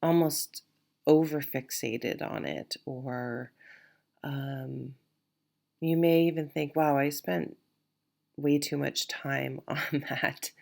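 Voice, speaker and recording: A woman's voice: very deep voice, steady tone, takes it slowly, vocal fry, darkish tone. A woman giving a casual tarot reading, a bit nasal and sniffly from allergies, rambling and reassuring. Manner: rambling, reassuring, many restarts and fillers. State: sniffly, stuffed-up. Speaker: tarot reader.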